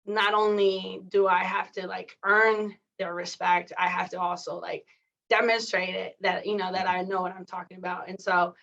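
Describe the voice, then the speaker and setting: A woman's voice: mid-range voice, level tone, moderate pace, vocal fry, a bit bright, dull audio. A woman who was born in Mexico and raised in California, a coach and athletic director, talking candidly as a podcast guest with lots of "like" and "you know". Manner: candid, chatty, many fillers like "like" and "you know". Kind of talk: casual interview conversation.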